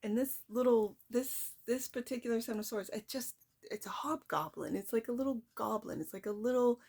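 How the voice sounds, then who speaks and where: A soft-spoken woman hosting a casual tarot reading, talking straight to her viewers in a chatty, reflective way. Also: fairly high pitch, a little fast, breathy edge. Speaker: tarot reader.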